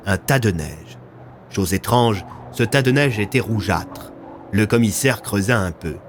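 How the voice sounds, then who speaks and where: Guy narrating an audio story, kinda low voice, dramatic, loud music behind. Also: lively intonation, unhurried. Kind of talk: scripted audio fiction narration.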